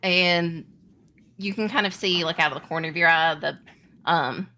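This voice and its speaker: A woman game master narrating a tabletop roleplaying game in a halting, deliberate, improvised way. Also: lowish voice, sharp tone, slight background noise.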